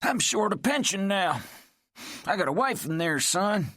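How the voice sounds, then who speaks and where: Gruff old retired miner, put on by a male narrator, paranoid and bitter, pitched high and swinging wildly. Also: big pitch swings, measured pace, pushed and bright, no echo, clean studio sound, full character voice. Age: older. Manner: gruff, ranting, folksy drawl. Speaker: story character. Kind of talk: audiobook character voice.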